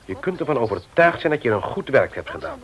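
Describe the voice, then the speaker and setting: An actor playing an earnest, courteous company secretary in a radio play, discreet and reassuring. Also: male voice, mid-range voice, big pitch swings, quick pace, lightly acted. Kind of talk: Dutch radio play acting. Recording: muffled vintage radio drama recording.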